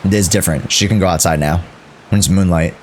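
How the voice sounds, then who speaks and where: A man's voice: deep voice, fast talker, slight background noise. A young man giving casual, slangy live commentary as a game streamer. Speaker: game livestreamer.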